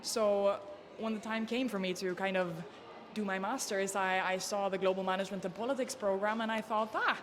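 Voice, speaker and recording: A woman's voice: mid-range voice, fairly even intonation, fairly quick, big-room sound. A woman in her twenties with a Norwegian accent, answering interview questions informally as a student. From Norway. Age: 20s.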